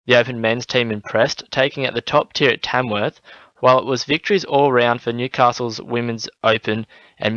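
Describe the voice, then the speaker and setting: A man's voice: mid-range voice, fairly bright tone, dry recording. A man with an Australian accent reading a scripted sports bulletin on the radio. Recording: slightly dull, lo-fi broadcast audio.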